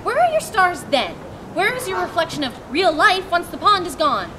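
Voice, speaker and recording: A woman's voice: very high-pitched, very animated, moderate pace, noisy background. A woman reading the part of an idealistic male writer who lives alone in a woodland cabin, speaking in an earnest, declamatory way. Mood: argumentative. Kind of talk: stage play reading.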